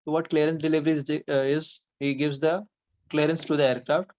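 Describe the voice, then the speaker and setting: A man's voice: fairly high pitch, little pitch movement, a little fast, dull audio. A man with an Indian accent, giving a methodical, step-by-step technical explanation as an interview guest. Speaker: air traffic controller, podcast guest.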